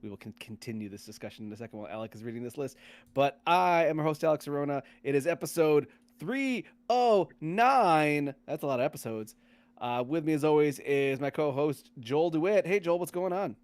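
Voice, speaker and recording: A man hosting a podcast in casual chat, often repeating and rephrasing himself in a halting way. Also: on the high side, animated, no room sound. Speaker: podcast host.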